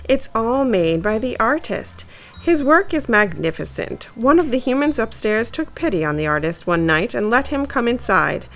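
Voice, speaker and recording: A woman's voice: a little high, sing-song, moderate pace, a bit bright. A woman voicing a formal, welcoming male mole who guards a museum in a storybook.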